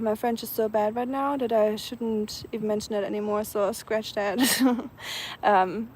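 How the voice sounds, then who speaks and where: A woman from Germany with a German accent, chatting casually and softly outdoors, with many ums. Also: a little high, slight background noise, rough recording. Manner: soft-spoken, chatty, many ums, laughs lightly. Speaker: vlogger. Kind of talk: casual live chat outdoors. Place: outdoors in the evening, nature sounds around.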